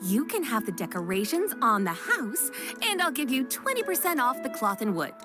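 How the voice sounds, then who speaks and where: Casting: female village merchant for a game, fairly high voice, lively intonation, wry yet kindhearted. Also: normal speed, over music. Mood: delighted. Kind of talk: game voice acting.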